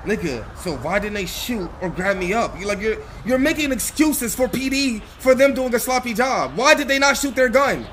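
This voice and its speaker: A man's voice: extremely high pitch, lively intonation, moderate pace, hardly any pauses, fairly bright tone, faint music behind. A man livestreaming a roleplay game with heated, slangy commentary, swearing and repeating himself in disbelief.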